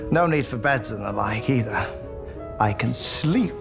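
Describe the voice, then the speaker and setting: An actor playing a polite, wry living doll man in a video game, speaking in unhurried, clipped phrases with a creaky voice. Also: medium pitch, expressive. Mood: courteous, wry. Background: music under game cutscene dialogue.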